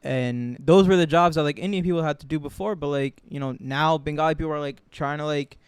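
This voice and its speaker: Smooth-voiced young American man, higher-pitched. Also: fairly even intonation, brisk, dry recording, clean studio sound. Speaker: podcast co-host. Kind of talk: casual podcast chat.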